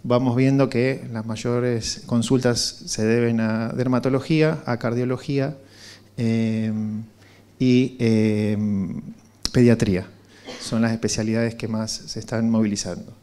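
A middle-aged man with an Argentine accent, pitching a project and answering questions in a halting, searching way into a handheld mic. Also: level tone, mellow, clear, resonant tone. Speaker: startup founder presenting. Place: indoor conference room with small seated audience. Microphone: handheld microphone.

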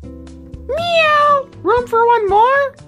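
A performer voicing a clingy, sulky cartoon dog with a squeaky voice and a whiny, stammering manner. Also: drawn-out words, pushed and bright, soft background music, heavily acted. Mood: eager.

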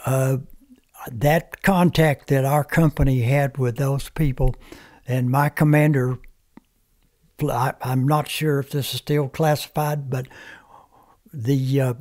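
Brief: older man, native Comanche speaker, halting plain-spoken storyteller, studio mic. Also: mid-range voice, flat delivery, darkish tone. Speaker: guest, veteran. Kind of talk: reminiscing interview talk. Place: small podcast studio. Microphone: studio mic on stand, headphones.

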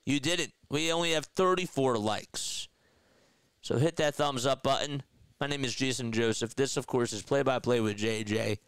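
A man's voice: mid-range voice, normal speed, fairly bright tone, clean tone, dry recording. A man in his thirties with a Philadelphia accent, calling a baseball game live on a headset mic and chatting with viewers. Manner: chatty, laughs often, reads viewer comments aloud. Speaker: play-by-play livestream host. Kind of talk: live baseball commentary with chat reading. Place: small indoor room in front of a desk setup. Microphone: headset with boom mic.

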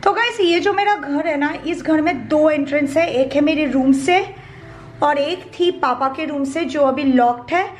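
Young woman, high voice, chatty and emotional, home tour vlog, handheld camera, faint room hum. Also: moderate pace. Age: young adult. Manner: chatty, emotional, mixes in English phrases. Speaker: YouTube vlogger. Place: inside an old flat, small bare rooms.

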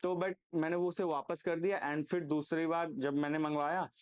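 Casting: Indian-accented man, slightly high voice, casual gear reviewer, explanatory and unscripted. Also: little pitch movement, moderate pace, darkish tone, smooth. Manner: explanatory, frequently checks in with "okay".

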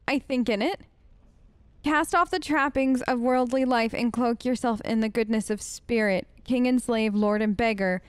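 A woman's voice: on the high side, dry recording. A woman doing casual, chatty role-play game commentary with a playful, wry manner. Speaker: gamer, let's player.

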